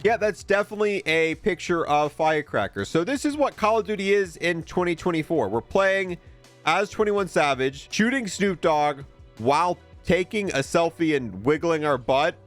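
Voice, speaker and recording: Guy casually commentating a game, kinda higher voice, bit of music under. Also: expressive, normal speed. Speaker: gaming video creator reviewing a game bundle.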